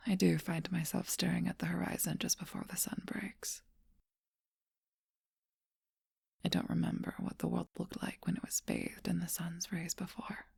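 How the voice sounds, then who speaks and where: A woman voicing a seductive, ageless, possessive female vampire in an ASMR role-play, speaking in a soft, breathy whisper with slow, intimate teasing. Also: on the low side, flat delivery, dry recording, studio quality, full character voice. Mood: wistful.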